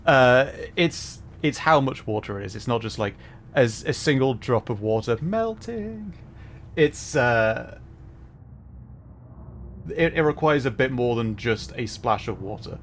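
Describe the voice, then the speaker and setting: A man streaming as a virtual character, giving relaxed, rambling commentary while he plays and answers chat. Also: mid-range voice, a little slow, slight background noise. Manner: relaxed, rambling, chuckles often. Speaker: VTuber streamer. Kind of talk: casual gameplay commentary.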